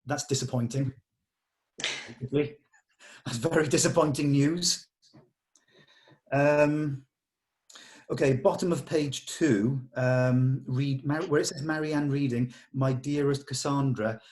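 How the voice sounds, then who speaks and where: A man leading a script table read, explaining notes to actors and often pausing mid-thought. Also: mid-range voice, steady tone, darkish tone.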